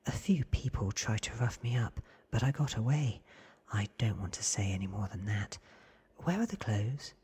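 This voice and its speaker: A man voicing a cool, commanding, secretive vampire woman from a storybook in a clipped, breathy ASMR whisper. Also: on the low side, lively intonation, normal speed, darkish tone. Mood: cool, brisk.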